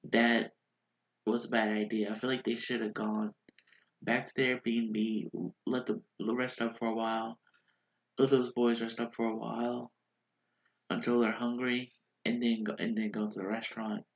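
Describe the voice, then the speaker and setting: A man's voice: medium pitch, dull audio. A man in his thirties with a stuffy, congested nose, chatting casually through a rambling recap in a small bedroom on a webcam, with lots of fillers and restarts. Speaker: vlogger recapping a reality show. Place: small bedroom with posters on the wall. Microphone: webcam at desk.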